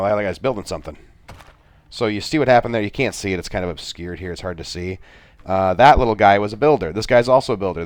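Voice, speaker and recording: A soft-spoken middle-aged man giving a rambling, self-deprecating game walkthrough, full of filler sounds. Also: low voice. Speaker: gaming video creator. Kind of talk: gameplay walkthrough commentary.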